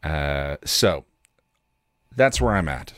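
A man hosting a podcast with a candid personal monologue, reflective and halting, with wry, self-deprecating delivery. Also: a bass voice, expressive, fairly bright tone, no echo, studio quality. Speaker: podcast host.

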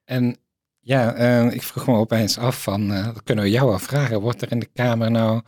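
A man's voice: mid-range voice, fairly even intonation, moderate pace, darkish tone, dry recording, clean studio sound. A man asking questions as an interviewer in a casual conversation.

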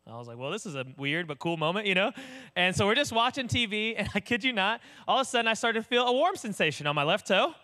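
Booming, fast-talking young male pastor. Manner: animated storyteller, acts out voices. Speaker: associate pastor. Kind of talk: casual sermon with stories.

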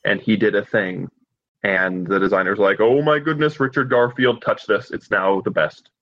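A man's voice: fairly low pitch, steady tone, normal speed, no echo. A man co-hosting a podcast, giving casual, opinionated game review commentary over a lo-fi microphone.

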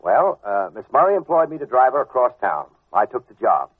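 An actor playing a wisecracking, gruff but decent private detective in a 1940s radio drama, talking in sardonic slang. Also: male voice, mid-range voice, very animated, brisk, no echo. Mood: defensive. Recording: muffled old radio drama recording.

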